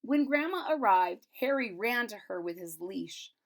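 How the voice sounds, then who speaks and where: Female storybook reader, mid pitch, expressive read-aloud with casual, explanatory asides for children. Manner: expressive, explanatory, pausing to retell the story simply.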